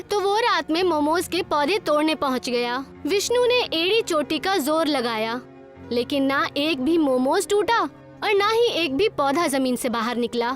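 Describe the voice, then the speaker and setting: A woman narrator reading a scripted children's moral story. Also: high-pitched.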